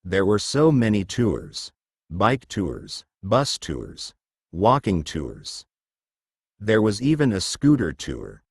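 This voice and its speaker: A deep-voiced man narrates a children's story for learners. He reads in a slow, measured way, with lively swings of intonation. The sound is dry and close. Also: vocal fry, husky, no echo.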